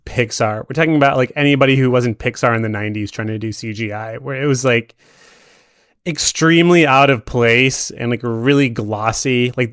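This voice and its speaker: A man with a mid-pitched voice gives casual, conversational commentary on movies for a podcast. The sound is dry, with very little room echo around his words. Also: fairly bright tone, smooth.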